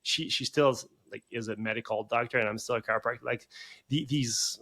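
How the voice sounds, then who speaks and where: A man's voice: medium pitch, fairly even intonation, brisk, pushed and bright. Rambling man, chiropractor guest. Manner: rambling, many fillers and restarts. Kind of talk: conversational podcast interview.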